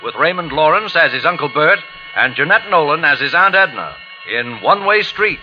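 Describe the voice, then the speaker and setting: American male announcer, 1940s dramatic radio style, lively high-ish voice, muffled old recording. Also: moderate pace. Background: music.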